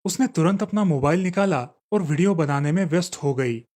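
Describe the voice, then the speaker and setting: A man's voice: fairly high pitch, brisk, a little mellow. A man narrating a scripted children's story.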